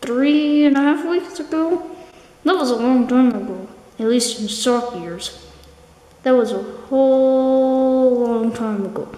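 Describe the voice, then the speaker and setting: A patient, bossy sock puppet played by a woman scolds and explains at an unhurried, slow pace. The voice is high and mellow, with sighs and hesitations, in an echoey room for a homemade puppet show. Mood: defensive. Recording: echoey hard room with background noise.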